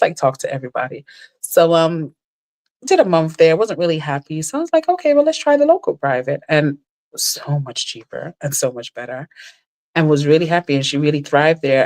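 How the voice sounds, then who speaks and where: Middle-aged woman, low register, animated conversational storyteller with big pitch jumps in quotes. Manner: animated storyteller, jumps high when quoting others. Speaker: guest. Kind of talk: casual chat.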